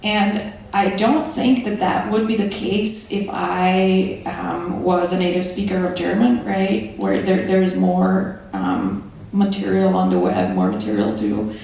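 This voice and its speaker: A woman's voice: lowish voice, slow, vocal fry, few pauses, darkish tone, slight background noise. A woman whose first language is Slovenian, speaking on a conference panel in a thoughtful way with hesitant restarts, recorded from far off in an echoey hall.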